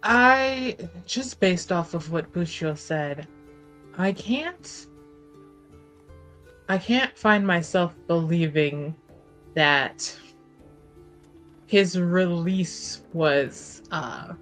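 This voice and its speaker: A woman with a slightly low voice talks slowly as a player in a tabletop game. She muses out loud in a casual way, working through her choices over background music. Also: lively intonation. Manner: musing, thinking out loud.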